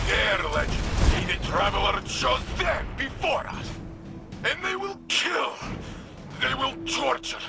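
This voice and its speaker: Weary warrior, impassioned, anguished, gravelly creak, unhurried, video game drama, loud score behind. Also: male voice, very high-pitched, heavily acted. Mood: desperate fury. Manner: impassioned, declamatory, heavy with anguish. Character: battle-weary heroic male warrior, anguished and zealous.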